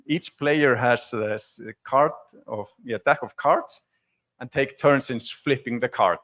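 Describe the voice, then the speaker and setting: A man's voice: medium pitch, unhurried, a bit bright. A man from Iceland, speaking as a conference presenter in an informal, explanatory and conversational way during a technical demo talk. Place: conference stage before an audience.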